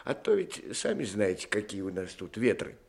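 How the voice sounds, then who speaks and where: An actor playing a talkative, humble old school caretaker with a folksy, deferential manner in 1960s radio drama style. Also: male voice, on the low side, sing-song, moderate pace, vocal fry, a little mellow. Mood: matter-of-fact, agreeable. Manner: deferential, folksy, talkative, often says sir.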